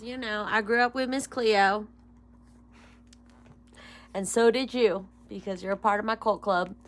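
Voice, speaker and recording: A woman's voice: mid-range voice, fairly bright tone. A woman in her thirties chatting casually to her viewers as a tarot reader, rambling, swearing freely and breaking into giggles. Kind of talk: casual rambling vlog chat.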